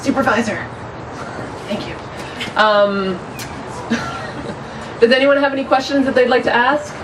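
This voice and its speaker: A woman's voice: a little high, unhurried. A woman moderator opening the floor to questions, light and joking. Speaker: event moderator. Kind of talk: casual moderating. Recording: distant voice with background noise.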